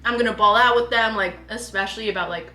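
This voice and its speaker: A woman's voice: medium pitch, fast talker, pushed and bright, a little hiss or hum. A young woman from North America in a casual, chatty vlog conversation with her partner, speaking informally with lots of "like". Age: young adult. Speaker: parenting vlogger. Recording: roomy echo, faint music behind.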